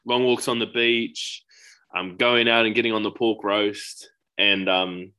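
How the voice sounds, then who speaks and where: Young male co-host, New Zealand accent, relaxed slangy banter, lower pitch with a bright edge. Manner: easygoing, quick laughs, Māori greetings and kiwi slang. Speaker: podcast co-host. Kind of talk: casual podcast chat.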